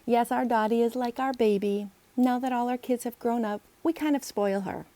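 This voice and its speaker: A woman's voice: a little high, moderate pace, mellow, pitched above the performer's own voice, natural voice. A woman voicing a kindly, worried older male mayor in a children's storybook, polite and earnest. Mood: sad.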